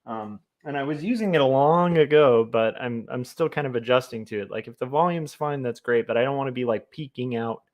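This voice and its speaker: A man of middling pitch talks casually to his stream while drawing. His voice is naturally loud and sits close on the mic. He rambles along with plenty of ums and likes. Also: a little fast, hardly any pauses. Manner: rambling, chatty, many fillers. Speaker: comic artist streamer. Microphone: desk mic close to the mouth.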